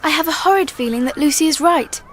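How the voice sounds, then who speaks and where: A woman's voice: sits high, fast, a little hiss or hum, natural voice. A woman playing a sensible, cautious schoolgirl older sister with a British accent in a radio drama. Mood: anxious.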